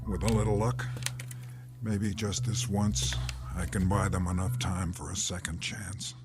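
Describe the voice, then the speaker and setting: An actor playing a stubborn army captain who gives firm, clipped commands in a classic radio drama. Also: male voice, deep voice, flat delivery, unhurried, loud music behind the voice. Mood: somber. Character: stubborn army captain guarding missing men.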